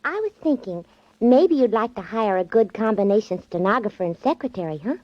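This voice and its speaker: A woman's voice: mid-range voice, animated, fairly quick, close to an everyday voice. An actress playing a perky, cheeky young newspaper office girl in a 1940s radio drama, with a coaxing manner. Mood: coaxing, playful. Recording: dull 1940s radio recording.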